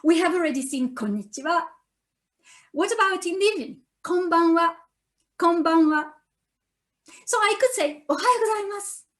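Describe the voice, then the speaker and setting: A woman's voice: very high voice, expressive, fairly quick, pauses often, a bit bright. A woman whose first language is Italian, with an Italian accent, giving a recorded beginner language lesson and patiently spelling out sounds for learners to repeat. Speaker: university Japanese lecturer.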